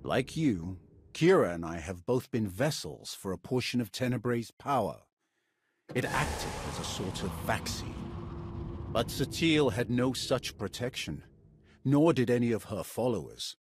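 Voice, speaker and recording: Menacing dutiful warrior, formal, solemn, husky, expressive pitch, video game cutscene. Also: male voice. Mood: grave. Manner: formal, solemn, deliberate phrasing. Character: grave, dutiful male Sith warrior ally, menacing and formal.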